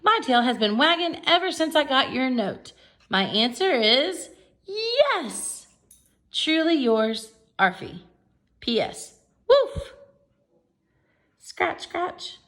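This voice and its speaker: A woman's voice: high voice, slow, long pauses, pitched above the performer's own voice, exaggerated voice. A woman voicing a happy, eager, affectionate pet dog in a children's picture book read-aloud. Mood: excited, happy. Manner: excited, affectionate.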